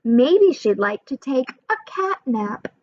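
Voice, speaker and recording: A woman voicing a kind, cheerful tulip flower girl with a gentle reading manner. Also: sits high, big pitch swings, slow, dry recording, muffled recording, full character voice.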